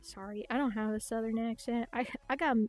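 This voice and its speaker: A young woman with a Chicago-style Midwestern accent, raised in Minnesota and South Dakota, chatting quickly and swearing freely as a livestream host late at night from her bedroom. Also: a little high, steady tone, darkish tone, no echo, faint music behind. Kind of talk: casual late-night stream chat. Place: hot bedroom at home.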